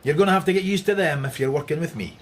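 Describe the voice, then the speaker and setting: An actor playing a sardonic Scottish police inspector in a radio drama, with a dry, needling manner. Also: male voice, on the high side, rapid-fire, slight background noise, natural voice. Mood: brisk, sardonic.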